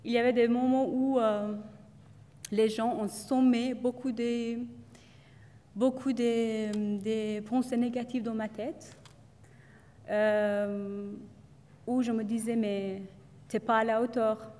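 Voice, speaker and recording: A woman's voice: on the high side, little pitch movement, slow pace, long pauses, mellow. Hesitant woman speaking in a hall. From Iran. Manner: hesitant, many fillers and restarts. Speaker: student speaker. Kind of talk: testimonial talk to an audience.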